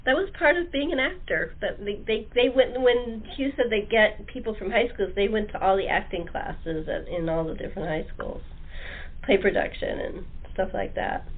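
A woman's voice: a little high, animated, moderate pace. Tinny phone-line American woman host, chatty. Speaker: talk show host. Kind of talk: casual chat interview.

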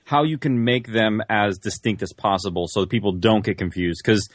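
A man's voice: fairly low pitch, moderate pace, dry recording. Man explaining in a dry, close sound. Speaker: guest, audio drama writer and producer. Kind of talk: casual interview chat.

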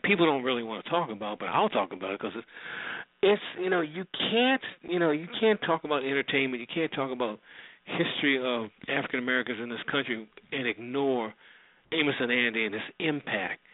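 A man's voice: medium pitch, normal speed, no echo. Guy hosting a radio show, rambling off the cuff, mid voice, dry and phone-like audio. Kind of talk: unscripted casual commentary.

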